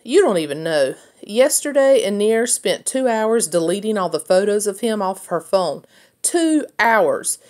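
A woman's voice: medium pitch, big pitch swings, a little slow, darkish tone. A woman voicing an annoyed, scornful young boy in a children's book, with blunt, exasperated complaining.

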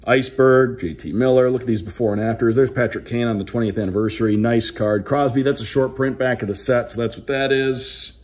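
A man's voice: mid-range voice, fairly even intonation, fairly quick, hardly any pauses, a little mellow. A man doing casual unboxing commentary with an upbeat, announcer-like delivery, calling out names like a sports broadcaster. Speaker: hockey play-by-play broadcaster hosting a card unboxing.

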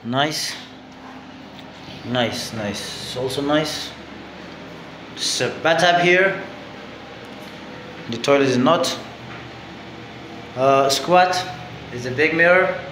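A man's voice: medium pitch, takes it slowly, long pauses, long reverb tail, background noise. A man in his twenties with an Afghan accent, narrating a casual vlog as a tour guide in short, repetitive phrases. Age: 20s. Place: indoors in hotel halls, rooms and dining room. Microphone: phone at arm's length.

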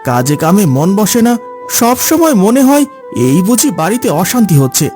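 A man's voice: high voice, big pitch swings, moderate pace, a little mellow, faint music behind. A man voicing a mild, earnest son and husband in a cartoon, politely protesting. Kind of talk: cartoon voice acting.

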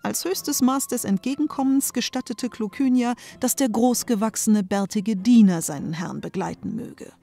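A woman's voice: on the high side, moderate pace, gravelly, hardly any pauses, mellow. A woman narrating a scripted fairy tale as a storyteller. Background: music.

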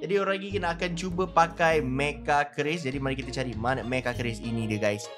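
A man with a Malaysian accent giving excited, animated gameplay commentary with roleplay, full of exclamations and drawn-out words. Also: mid-range voice, over music. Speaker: gaming YouTuber.

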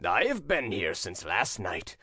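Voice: sits low, wildly swooping pitch, bright, forceful tone, husky, no echo, studio quality, voice pushed down, full character voice. A storybook narrator voicing a self-pitying hunchback man who complains in a tone of lamentation. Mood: plaintive, aggrieved. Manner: lamenting, slow, plaintive.

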